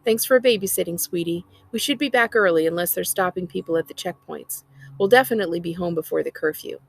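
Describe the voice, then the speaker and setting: A woman's voice: on the low side, fast, gravelly. An American woman voicing a busy, nagging but affectionate mother in a storybook read-aloud. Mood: brisk. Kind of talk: storybook character reading.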